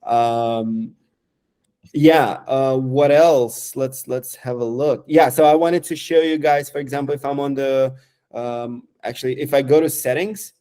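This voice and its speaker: Mellow-voiced man demoing software. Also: medium pitch, fairly even intonation. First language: Romanian. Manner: halting, lots of fillers and restarts. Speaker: software developer. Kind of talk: casual screen-share product demo.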